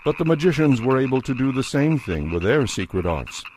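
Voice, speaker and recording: A man narrating a dramatized audio Bible as a solemn, measured storyteller. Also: mid-range voice, big pitch swings, darkish tone, a little hiss or hum, low-quality recording.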